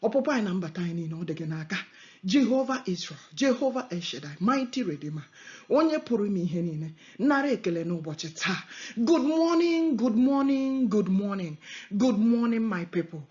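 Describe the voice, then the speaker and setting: A woman's voice: medium pitch, darkish tone. A woman with a Nigerian Igbo accent preaching and praying in a fervent prophetic style, emphatic and repetitive, switching between Igbo, English and Pidgin. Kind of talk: fervent prophetic sermon and prayer. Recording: dull lo-fi audio.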